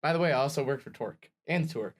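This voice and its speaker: A playful man in his twenties, chatting as a podcast guest with laughs between his lines. His voice is at an ordinary pitch and his talk is loose and relaxed. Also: fast talker. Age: 20s. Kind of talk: casual chat.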